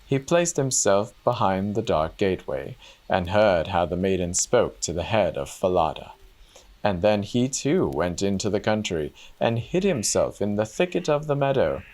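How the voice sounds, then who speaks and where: A man's voice: fairly low pitch, sing-song, moderate pace, darkish tone. A man giving a dramatic reading of a fairy tale as a storyteller.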